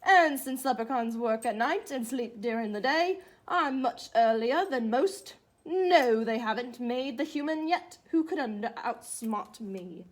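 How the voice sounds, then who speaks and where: A woman's voice: on the high side, lively intonation, unhurried. A woman reading the part of a feisty, proud little old leprechaun cobbler from a storybook, with boastful, folksy phrasing. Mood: proud, storytelling.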